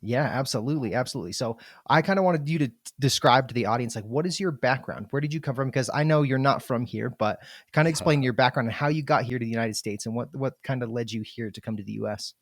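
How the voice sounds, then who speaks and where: A man hosts a podcast interview over a remote call, upbeat and effusive with long, rambling questions. His voice sits at an average pitch and sounds dry and close, with no room around it. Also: quick pace, no echo. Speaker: podcast host. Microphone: remote, video call.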